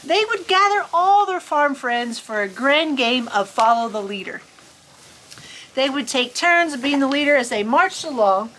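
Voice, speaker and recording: A woman's voice: high voice, animated, moderate pace, fairly bright tone, low-quality recording. A woman reads aloud to children. Her delivery is folksy and playful, slipping between storybook narration and casual chat. She is recorded outdoors on a farm, with faint ambient noise behind her. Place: outdoors on a farm in cold weather.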